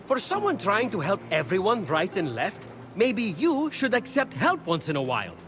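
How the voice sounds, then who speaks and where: High-pitched, flippant trickster god over music. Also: male voice, wildly swooping pitch, moderate pace, a bit bright, heavily acted. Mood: flippant, teasing. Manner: glib, wry, joking. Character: quick-witted male trickster messenger god, flippant and playful. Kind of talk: animated video game character acting.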